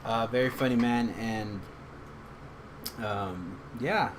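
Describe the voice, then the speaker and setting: A man's voice: mid-range voice, slow. Casual male podcast host, loose and jokey, recorded with a noisy background. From Texas. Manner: loose and joking, many fillers. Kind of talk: casual chat.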